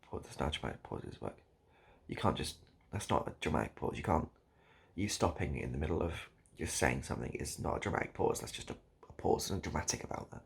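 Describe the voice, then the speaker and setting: A man improvising a comic monologue straight to the listener, halting and repeating himself in a mock-earnest, absurd way. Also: low-pitched, gravelly, husky. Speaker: online video host.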